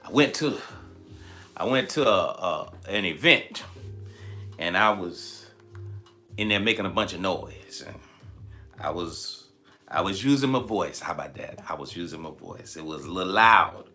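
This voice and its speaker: A man hosting a motivational livestream, speaking emphatically and repeating phrases, his voice slightly raspy and tired. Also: sits low, expressive, measured pace, long pauses, bright, forceful tone, soft background music. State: slightly raspy, tired voice from shouting the night before. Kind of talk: motivational livestream talk, preaching-like.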